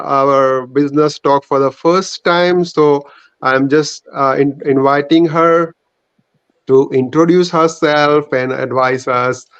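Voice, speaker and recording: Indian accent guy moderating online talk show, talks slow and halting, higher voice, muffled call audio. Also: clean tone, dry recording. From Surat, India. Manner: halting, deliberate. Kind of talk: live online show presenting.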